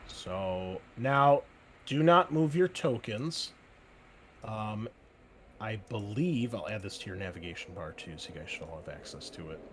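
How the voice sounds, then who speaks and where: A man acting as host and game master of a tabletop roleplaying game, explaining the scene in a relaxed way with many fillers. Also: on the low side, measured pace, slight background noise. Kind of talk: running a tabletop game session.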